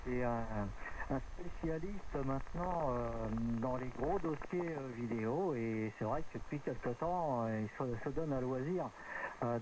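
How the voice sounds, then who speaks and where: A man talking casually as an amateur radio operator, in a chatty, meandering and hesitant way, over a radio transmission. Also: medium pitch. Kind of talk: casual radio contact chat. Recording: noisy radio transmission with clear background noise.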